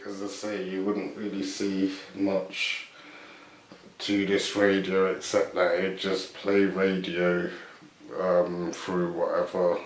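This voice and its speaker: A man casually presenting a show-and-tell video, unscripted and tentative, often guessing as he goes. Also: low voice. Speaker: video host showing vintage items. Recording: echoey room.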